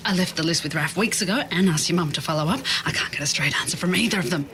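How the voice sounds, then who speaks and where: An actress playing a brisk, exasperated restaurant worker with a sarcastic manner, in an audio drama. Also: female voice, lowish voice, a crowd in the background, lightly acted. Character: brisk, dry, exasperated woman holding a restaurant kitchen together. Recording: clear kitchen background noise.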